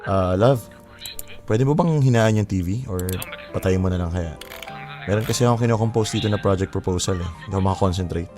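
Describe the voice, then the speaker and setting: A man's voice: deep voice, brisk, over music, natural voice. A man playing an exasperated boyfriend in a Filipino audio drama, conversational and switching between Tagalog and English. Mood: irritated. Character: exasperated boyfriend working from home, pleading for peace. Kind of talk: Filipino radio-style drama acting.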